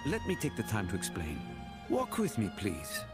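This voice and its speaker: A man's voice: on the low side, huge pitch swings, normal speed. An actor playing a pompous male magistrate in a fantasy game, florid, theatrical and drolly condescending. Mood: persuasive. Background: game music.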